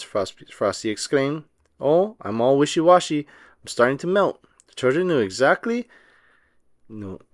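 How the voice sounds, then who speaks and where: A man narrating a children's storybook aloud as an expressive, emphatic storyteller. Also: medium pitch, no echo, studio quality.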